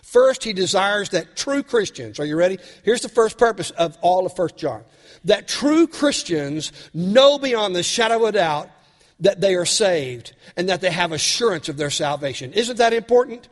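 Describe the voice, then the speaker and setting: A man delivers a sermon with a voice worn raspy by a cold, his pitch sitting fairly high for a man. He keeps turning to the congregation with earnest questions. The long reverb of a church hall trails every phrase. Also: animated, normal speed. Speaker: pastor.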